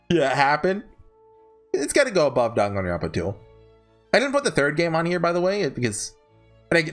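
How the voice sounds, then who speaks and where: Animated, higher-pitched man rambling casually. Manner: rambling, halting, self-correcting. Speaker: video host ranking visual novels. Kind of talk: casual unscripted tier-list commentary.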